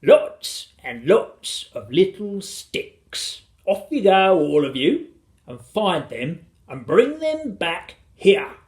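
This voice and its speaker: Storybook father, shrunk tiny played by a narrator: commanding, indignant, animated pitch, punchy delivery. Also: slow. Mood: bossy, determined. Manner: loud, emphatic, commanding. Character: tiny man turned winged, bossy indignant father.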